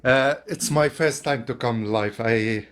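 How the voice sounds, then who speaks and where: Slow, halting Palestinian man. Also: medium pitch, fairly bright tone. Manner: earnest and halting, non-native phrasing. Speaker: caller on a live stream. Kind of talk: casual live-stream chat.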